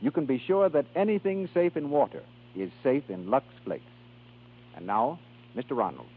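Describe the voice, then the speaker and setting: A male radio announcer from the 1930s reads scripted continuity and advertising. His voice is slightly husky and mid-pitched, and the old broadcast sounds thin and tinny. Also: animated, normal speed.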